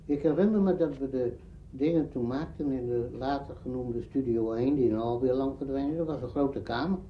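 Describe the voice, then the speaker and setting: A muffled archive recording with faint noise holds an older man speaking. He looks back on his working life, explaining things in a measured manner. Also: mid-range voice. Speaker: interviewee, veteran radio drama director. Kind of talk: spoken reminiscence.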